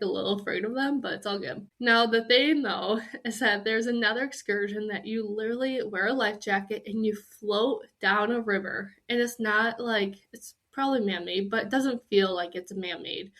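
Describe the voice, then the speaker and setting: A woman's voice: a little high, fairly bright tone. A young woman hosting a podcast, talking casually and candidly with a slightly nasally voice from a cold and lots of "like" fillers. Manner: chatty and candid, with many "like" fillers. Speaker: podcast host. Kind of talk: casual chat.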